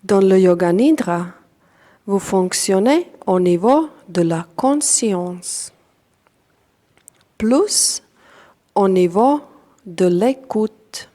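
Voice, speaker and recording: Very slow woman guiding meditation, foreign-accented French. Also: mid-range voice. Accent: non-native French. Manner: calm, deliberate, short phrases with long pauses. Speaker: yoga nidra instructor. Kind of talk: guided meditation.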